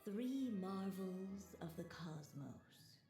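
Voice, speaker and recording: A woman playing a measured, wistful storybook narrator telling a fairy tale in a game. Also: mid-range voice, expressive. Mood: tender, solemn. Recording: roomy echo, soft music.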